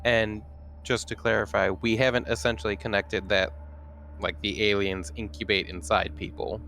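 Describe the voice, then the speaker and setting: A man's voice: fairly low pitch, steady tone, moderate pace, gravelly, bright, forceful tone, a little hiss or hum. A man playing a tabletop roleplaying game, chatting casually with the other players.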